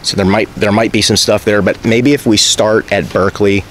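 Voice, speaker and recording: A man's voice: lowish voice, steady tone, moderate pace. An American man in his thirties, talking casually and a little hesitantly as a caller on the phone, in an agreeable way. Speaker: caller making a records request. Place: outdoors in front of leafy greenery. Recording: faint outdoor background noise.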